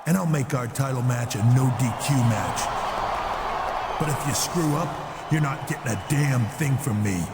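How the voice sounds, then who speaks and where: A man's voice: mid-range voice, moderate pace, heavy background noise, lightly acted. A man playing an arrogant, threatening wrestling champion cutting a taunting promo in a video game. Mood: cocky, threatening. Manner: cocky, menacing, taunting. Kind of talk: wrestling promo trash talk.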